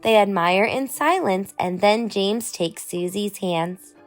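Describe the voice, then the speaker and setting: A woman's voice: medium pitch, slow. A woman reading a rhyming children's storybook aloud, expressively, for young listeners. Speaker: children's book read-aloud narrator. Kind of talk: animated storybook narration in rhyme. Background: music.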